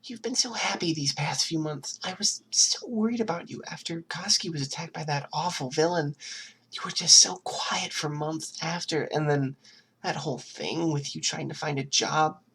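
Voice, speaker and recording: A performer voicing a gentle, loving, worried mother with a soft, breathy, fretting manner in an amateur audiobook. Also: medium pitch, normal speed, slight background noise, voice pushed up, natural voice. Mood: tender, relieved.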